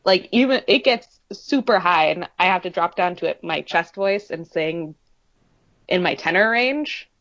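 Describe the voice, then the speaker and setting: A woman's voice: expressive, a little slow, a bit bright, dry recording. A woman co-hosting a fan podcast, chatting enthusiastically and stretching words for emphasis. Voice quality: deep singing voice. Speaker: podcast co-host. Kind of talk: casual fan chat. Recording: dull, dry lo-fi audio.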